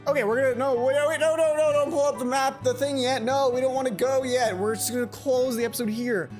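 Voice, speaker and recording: Excitable let's player over game music. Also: sits high, quick pace. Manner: excitable, informal, self-interrupting. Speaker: let's play host. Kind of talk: game commentary and read-aloud of a visual novel.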